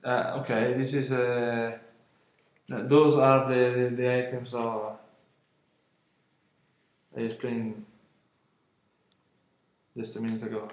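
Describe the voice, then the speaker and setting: A man in his twenties from Italy, speaking English with an Italian accent, unboxing an album at home, halting with many fillers and apologies. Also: mid-range voice, little pitch movement, echoey room. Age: 20s. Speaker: vlogger. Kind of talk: casual unboxing vlog. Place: room at home, indoors. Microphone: webcam at arm's length.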